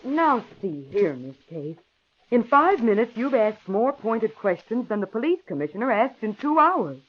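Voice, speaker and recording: An actress playing a curt, tough murderess in an old-time radio crime drama. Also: female voice, a little high, very animated, moderate pace. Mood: irritated, curt. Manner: curt, tough gangster-moll slang. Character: hard-boiled murderess hiding under a false name, curt. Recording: muffled, noisy old radio recording.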